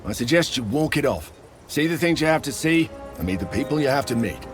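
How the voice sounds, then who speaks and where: A man's voice: mid-range voice, animated, a little fast, over music, natural voice. A man playing a seasoned, wry Roman centurion and mentor in a video game, bluff and commanding. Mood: commanding, instructive. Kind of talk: scripted video game acting.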